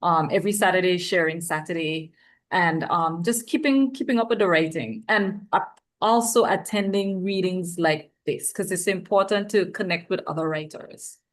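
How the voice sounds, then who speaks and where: A woman's voice: fairly low pitch, moderate pace. A woman poet from the Virgin Islands, speaking at an open reading. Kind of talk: poetry reading and informal talk.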